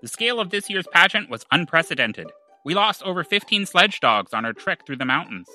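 Male comedy co-host, high piercing bright voice, lively, theatrical. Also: fairly quick, faint music behind. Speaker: podcast co-host. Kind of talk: theatrical comedy banter and narration.

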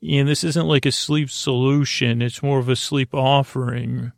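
Male sleep podcast host: deeply creaky, gravelly voice, halting and whimsically rambling, recorded dry. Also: little pitch movement, no room sound. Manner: meandering, halting, full of self-interruptions and silly asides. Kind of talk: rambling, whimsical bedtime monologue.

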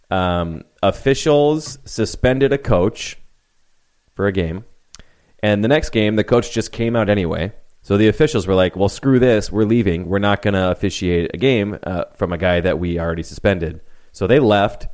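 A man's voice: on the low side, darkish tone, clear, resonant tone. A man co-hosting a casual sports podcast, joking and rambling with a self-mocking streak. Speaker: podcast co-host.

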